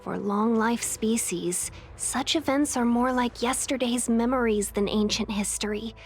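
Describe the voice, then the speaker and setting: A woman voicing a composed, haughty woman in an anime game, speaking in a poised, formal and deliberate way. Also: on the high side. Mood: calm, solemn. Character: composed, courteous woman, coolly menacing, haughty. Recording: music behind the voice.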